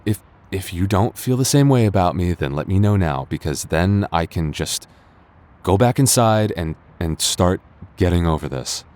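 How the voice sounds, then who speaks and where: Man acting a deep-voiced, flustered young lovesick guy. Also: animated, darkish tone. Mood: earnest, vulnerable. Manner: halting, stammering, hesitant. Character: young man, flustered, defensive childhood friend secretly in love. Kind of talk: romantic audio roleplay acting.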